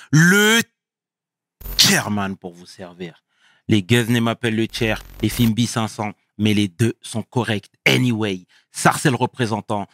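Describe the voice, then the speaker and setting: A man hosting a casual interview show, speaking enthusiastically with slang and warm compliments. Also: medium pitch, dry recording, studio quality. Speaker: talk show host.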